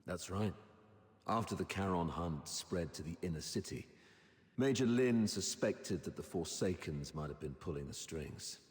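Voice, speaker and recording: Deep, mocking military officer. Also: male voice, animated, a little slow. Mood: smug, menacing. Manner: mocking, menacing. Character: gruff, sneering military officer, menacing grown man. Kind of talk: dramatic game voice acting. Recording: echoey hall reverb.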